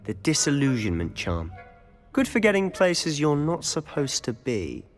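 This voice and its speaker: A man's voice: a little high, big pitch swings, measured pace, faint music behind. A man voicing a confident, sly teenage wizard in a video game, with a British accent and a wry, conspiratorial manner. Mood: confident, instructing. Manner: conspiratorial, wry, self-assured. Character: confident, sly teenage schoolboy wizard, loyal rule-breaker.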